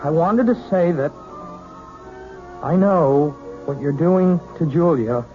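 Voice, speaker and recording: An actor playing an earnest, lovestruck twenty-year-old piano student in a 1970s radio drama, halting and intense. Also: male voice, fairly high pitch, dark tone. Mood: nervous, defiant. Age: young adult. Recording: tinny, muffled old radio broadcast.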